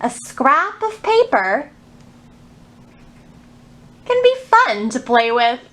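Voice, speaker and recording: A woman's voice: high voice, big pitch swings, drawn-out words, fairly bright tone. A woman presenting a children's storytime, explaining things simply, asking young listeners questions and reading aloud.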